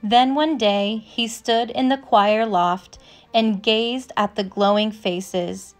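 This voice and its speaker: Slow-paced woman reading a storybook aloud. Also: mid-range voice, fairly even intonation. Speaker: narrator. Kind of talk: scripted children's picture book read-aloud. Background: instrumental music.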